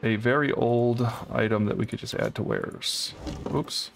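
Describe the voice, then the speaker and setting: A man's voice: mid-range voice, measured pace, creaky, background noise. A man casually commenting on a co-op game as he plays, thinking aloud and unsure as he works through a puzzle. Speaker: co-op game let's player.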